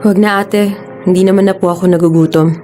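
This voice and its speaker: A woman's voice: on the low side, fairly even intonation, quick pace, dark tone, soft background music, natural voice. Young student little sister played by a woman. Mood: subdued, apologetic. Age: young adult. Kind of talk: radio drama acting.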